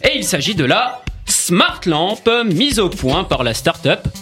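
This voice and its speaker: Animated high-voiced man presenting on radio. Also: slow pace. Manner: chatty, joking. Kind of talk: radio tech segment with banter. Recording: faint music behind.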